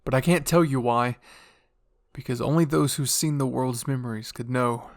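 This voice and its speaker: A man's voice: medium pitch, fairly even intonation, moderate pace, dry recording, clean studio sound, lightly acted. A man voicing a pushy, fanatical royal father with a grandiose, fervent delivery in dramatic fan fiction roleplay.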